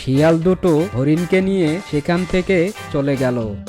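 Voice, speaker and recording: Higher-pitched male storybook narrator. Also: measured pace, dark tone, soft background music. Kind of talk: scripted fairy-tale narration.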